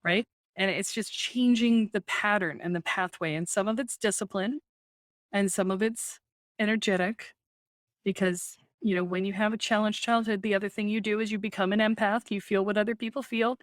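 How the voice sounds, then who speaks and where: A woman guest on a podcast interview, a spiritual coach who talks in an animated, emphatic way, often tags "right?" and laughs easily. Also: medium pitch, moderate pace, no echo, clean studio sound.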